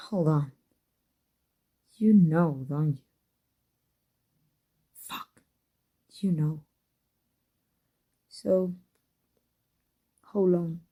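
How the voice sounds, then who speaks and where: A woman playing an embittered villain secretly in love with a rival, in an intense ASMR roleplay monologue, husky and breathy, often dropping to a whisper. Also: sits low, very slow, long pauses, dark tone, exaggerated voice. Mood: shaken, wary.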